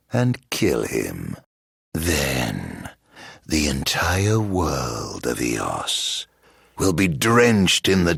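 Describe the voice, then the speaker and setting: Bitter vengeful monster-man for a video game, super raspy, pitch swinging wildly, grand old-timey dramatic talk. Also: fairly bright tone, dry recording, clean studio sound, exaggerated voice. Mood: dark, vengeful. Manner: grandiose, archaic wording, slow dramatic delivery. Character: vengeful, tormented immortal man turned self-proclaimed monster. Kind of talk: theatrical video game voice acting.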